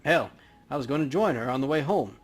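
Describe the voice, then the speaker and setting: A man's voice: mid-range voice, lively intonation, fairly quick, breathy edge. A man voicing a grieving, talkative orc convenience store worker in a video game. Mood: weary, talkative.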